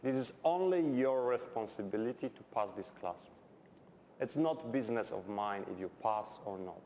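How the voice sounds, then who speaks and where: A man's voice: on the low side, lively intonation, moderate pace, dark tone. A middle-aged Polish man with a Polish accent, a non-native English speaker giving a university chemistry lecture in a blunt, direct way with dry humour. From Poland. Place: lecture hall. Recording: echoey lecture hall, faint background noise.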